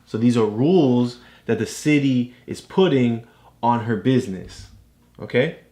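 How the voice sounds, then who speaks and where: A woman's voice: rumbling low, animated, measured pace, warm tone. A woman casually explaining things to a child as a tutor, encouraging and often checking understanding with questions. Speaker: parent tutor guiding a child's reading.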